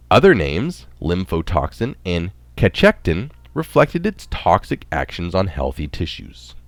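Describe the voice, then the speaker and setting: A man reading a scripted health article aloud as narration, in a deliberate, explanatory manner. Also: sits low, no room sound.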